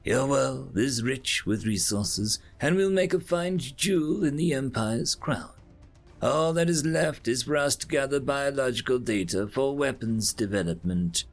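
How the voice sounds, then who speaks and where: A man's voice: mid-range voice, expressive, a little slow, soft background music. Man as a haughty, imperious alien invader. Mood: smug, imperious. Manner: formal, imperious, condescending. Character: haughty telepathic alien invader, slender and jade green.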